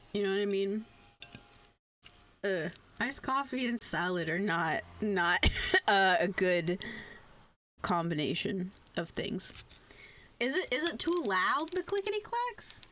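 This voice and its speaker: A young adult woman chatting casually on a livestream, rambling in an animated way and swearing freely. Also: medium pitch, a little hiss or hum. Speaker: VTuber live streamer. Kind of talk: casual chat on a game livestream.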